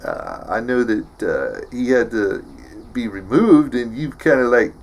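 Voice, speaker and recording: A man playing a slow-witted, tough action-movie hero who stammers and mumbles through favours and boasts in a comedy sketch. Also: medium pitch, big pitch swings. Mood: casual pleading. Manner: halting, mumbling, stammering braggadocio.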